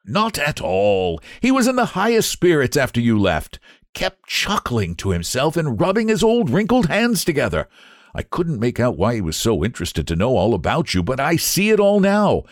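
A man voicing a jovial gentleman who teases his friend in a hearty, amused way in a storybook reading. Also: mid-range voice, wildly swooping pitch, normal speed, no room sound, clean studio sound, pitched above the performer's own voice. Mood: teasing. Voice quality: hearty, teasing. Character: jovial painter friend, teasing young man.

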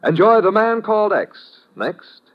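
A man's voice: high-pitched, very animated, measured pace. A man with an American accent reading scripted closing credits as a 1950s radio announcer. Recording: muffled vintage radio recording.

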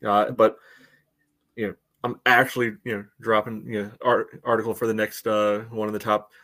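A man co-hosting a sports podcast with casual, halting analysis full of verbal padding. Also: fairly low pitch, little pitch movement, fairly bright tone. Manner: halting, peppers talk with "you know". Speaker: podcast co-host, commentator.

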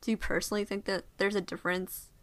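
A woman's voice: mid-range voice, flat delivery, quick pace. Young woman, discussion leader, chatty, reflective, casual. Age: young adult. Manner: chatty, reflective, asks follow-up questions. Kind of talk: casual conversation.

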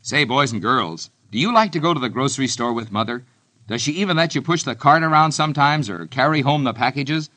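A man's voice: brisk, bright, forceful tone. A man with an American accent reading a scripted, folksy vintage radio advertisement aimed at children, as a peppy announcer. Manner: peppy, folksy sales pitch. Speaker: commercial announcer.

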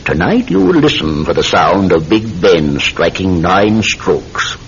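An actor playing a sinister, controlled hypnotist posing as a polite tobacconist, with deliberate, theatrical delivery in an old-time radio drama. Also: male voice, deep voice, big pitch swings, slightly rough, soft background music, exaggerated voice. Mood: commanding. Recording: muffled, hissy old radio recording.

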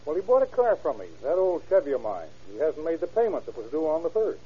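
A blunt, insistent small-town fellow in a vintage radio drama. His husky voice sits fairly high and moves animatedly, folksy in tone, on a dull old recording. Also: very animated, fast, warm tone. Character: insistent, aggrieved small-town man chasing his money. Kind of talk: 1930s radio drama acting.

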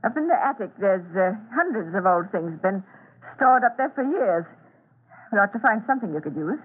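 An actress playing a blunt, eccentric elderly widow of a spooky mansion in an old-time radio drama, with abrupt, muttering speech. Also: female voice, medium pitch, sing-song, breathy edge. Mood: blunt, reminiscing. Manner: abrupt, halting, muttering asides. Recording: tinny, narrow-band old radio recording.

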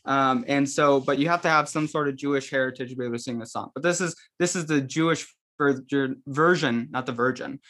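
A man's voice: medium pitch, fairly quick, hardly any pauses, a bit bright. A young man from the Seattle area, a podcast guest, joking and self-deprecating on a video call. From Seattle area, Washington. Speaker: podcast guest, seminary student. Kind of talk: casual video call chat.

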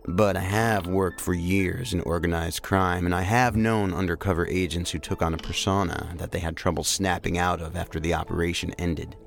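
An actor playing a measured, plainspoken male investigator, a seasoned crime specialist in a science fiction audio drama. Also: deep voice, fairly even intonation, vocal fry. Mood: thoughtful.

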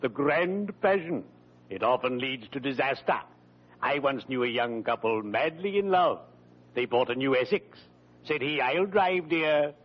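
Creepy-friendly undertaker, pompous deadpan, drawn out words, muffled old radio recording. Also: male voice, medium pitch, a little slow. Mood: grandly morbid. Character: friendly, morbidly droll male undertaker. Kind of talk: old-time radio comedy acting.

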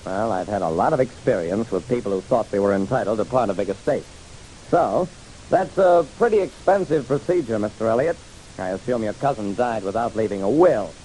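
Animated hard-boiled radio detective played by a man. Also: fairly low pitch, a little fast, close to an everyday voice, rough recording. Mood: reflective. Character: hard-boiled male private detective narrating his casebook. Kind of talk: 1940s-50s radio detective drama narration. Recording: hissy old radio recording.

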